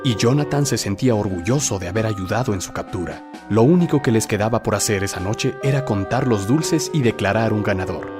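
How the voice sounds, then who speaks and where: A man narrating a scripted horror story in a theatrical, suspenseful storytelling manner. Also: fairly low pitch.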